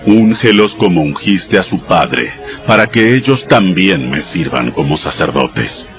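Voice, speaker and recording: A man playing God giving solemn, measured commands with authority in a dramatized scripture audio production. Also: low-pitched, sing-song, full character voice. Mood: solemn, commanding. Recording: loud music bed under voice. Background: music.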